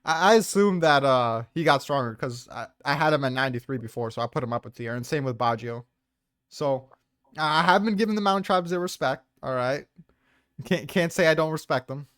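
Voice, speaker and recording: A man's voice: fairly high pitch, a little fast, a bit bright, smooth, dry recording, clean studio sound. A man hosting a casual fan debate, chatting informally as he explains his rankings.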